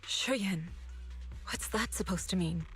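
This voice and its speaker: A woman's voice: medium pitch, slow, lightly acted. An actress playing an earnest, troubled female martial master who speaks questioningly in a dramatic video game. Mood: confused. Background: music.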